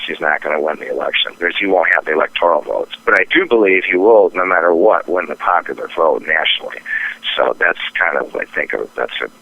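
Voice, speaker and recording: A man's voice: deep voice, sharp tone. A man giving market commentary as a phone interview guest, hesitant and repetitive but opinionated, on a dull phone line. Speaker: trading firm president, interview guest. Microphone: telephone.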